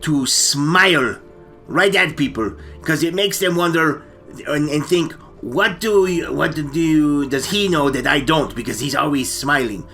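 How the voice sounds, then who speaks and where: A man with a creaky, slightly high voice chats as a comedy co-host. He hesitates and stammers, repeating words as he goes. Music runs underneath. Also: measured pace, bright, forceful tone. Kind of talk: casual comic movie discussion.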